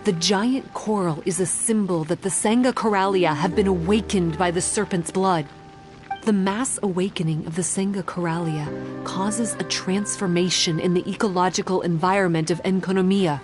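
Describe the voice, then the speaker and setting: A woman playing a composed, guarded young woman who explains things in a measured way in a video game, music behind. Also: on the low side, lightly acted. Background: game background music.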